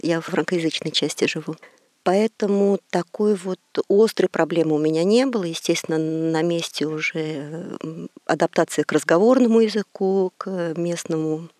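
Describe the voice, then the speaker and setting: Middle-aged woman from russia, interview guest, slow and reflective, little pauses, dead dry mic. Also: on the low side, darkish tone, no room sound. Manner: measured, reflective, some hesitations. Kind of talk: thoughtful interview answers.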